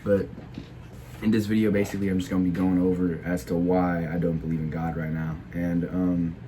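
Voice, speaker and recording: A man's voice: low voice, flat delivery, brisk, warm tone, a little hiss or hum. A teenage boy who is sick, giving a casual opinion monologue in a hesitant way, full of filler words and restarts. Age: teens. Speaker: video creator.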